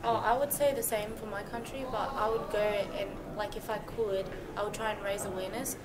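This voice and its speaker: An earnest young woman from Kenya talks as a student in a group discussion. Her voice stays flat and even in pitch, and heavy crowd chatter fills the background. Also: brisk, poor audio. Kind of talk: casual discussion.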